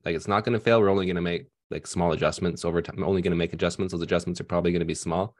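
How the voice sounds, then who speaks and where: A deep-voiced man in his thirties speaks over a headset mic on a video call from a room at home. He explains casually and loosely, full of fillers and restarts. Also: fairly even intonation, rapid-fire, a little mellow. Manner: conversational with many fillers and restarts. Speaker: financial advisor panelist. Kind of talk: casual panel discussion.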